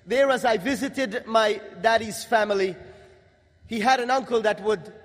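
A young man from Guyana giving a religious testimony to an audience, in a measured, emphatic delivery with pauses. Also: high voice. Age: young adult. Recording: long hall reverb.

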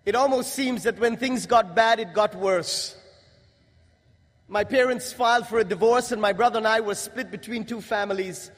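A young man from Guyana giving a religious testimony to an audience, in a measured, emphatic delivery with pauses. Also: high-pitched. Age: young adult. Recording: long hall reverb.

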